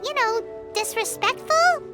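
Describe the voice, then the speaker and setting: A woman voicing a tiny, excitable childlike fairy girl companion in an anime-style video game, with a squeaky, exclamatory delivery. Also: takes it slowly, full character voice. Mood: puzzled. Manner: exclamatory, speaks of self in third person. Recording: background music under the voice.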